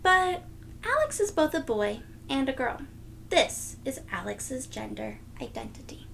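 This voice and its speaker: Woman doing a kids read-aloud, slow n sing-song, bit of creak in the voice, faint noise behind. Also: sits high, big pitch swings, a bit bright. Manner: deliberate, teacherly, sing-song phrasing for young children. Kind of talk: children's picture book read-aloud.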